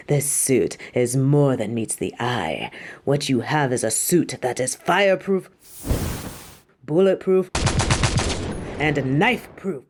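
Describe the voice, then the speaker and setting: A woman's voice: low voice, darkish tone, slight background noise. A woman playing a vain, imperious superhero costume designer in a theatrical audio roleplay, haughty, dramatic and doting toward the listener.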